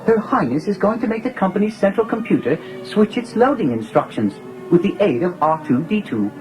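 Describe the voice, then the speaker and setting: An actor voicing a prim, deferential protocol droid with a British accent and formal, over-polite diction in an audio drama. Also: male voice, sits high, sing-song, fairly quick, music in the background, low-quality recording. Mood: earnest, formal.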